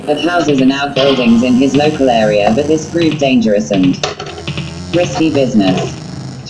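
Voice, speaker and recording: A woman reading a wry, wordy literary story in a scripted narration style. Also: very deep voice. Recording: muffled lo-fi, guitar music behind. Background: plucked guitar music.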